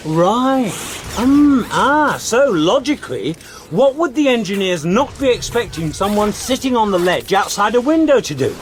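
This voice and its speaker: An actor playing a frantic, reckless adventurer in a comic sci-fi radio drama, excitable and urgent. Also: male voice, high voice, measured pace, a little hiss or hum. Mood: scheming. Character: frantic, reckless male adventurer in constant peril.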